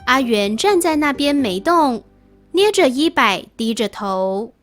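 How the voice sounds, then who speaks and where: A woman's voice: high-pitched, big pitch swings, unhurried, faint music behind. A woman reading out scripted story sentences.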